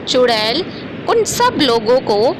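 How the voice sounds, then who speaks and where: A woman's voice: high voice, big pitch swings, slow pace. A woman giving scripted storytelling narration for a horror cartoon. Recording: very loud ambient noise behind voice.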